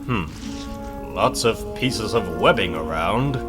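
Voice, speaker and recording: A man playing a calm, analytical scientist hero, measured and thoughtful, in a comic book dramatization. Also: fairly low pitch, music in the background. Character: calm, analytical male scientist superhero leader.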